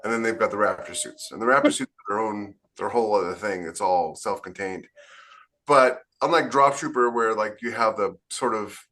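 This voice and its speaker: A man's voice: lowish voice, normal speed, sharp tone. A man co-hosting a podcast as a science fiction author, chatting casually and enthusiastically in rambling explanations. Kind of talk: casual panel chat.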